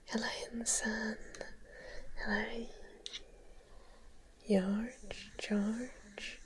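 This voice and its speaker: A woman's voice: fairly high pitch, lively intonation, slow pace, pushed and bright, low-quality recording. A woman, sick with a stuffy nose, whispering through a casual ASMR livestream, greeting viewers by name and swearing offhandedly. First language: Finnish.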